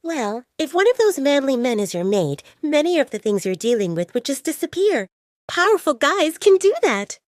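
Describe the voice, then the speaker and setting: A woman performs a boy-crazy kitchen girl of twenty in an audio drama. She is playful and sing-song, pitched well up and very animated, in a close, dry sound. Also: moderate pace, no room sound. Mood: teasing. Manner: teasing, gushing, sing-song. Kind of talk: audio drama character acting.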